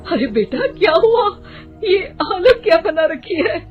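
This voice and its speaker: A woman's voice: very high-pitched, very animated, measured pace, voice pushed up, full character voice. A woman voicing a tender, sorrowful older mother in a cartoon family story, plaintive in manner. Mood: anguished. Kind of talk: cartoon moral-story acting. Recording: thin phone-like band, loud music.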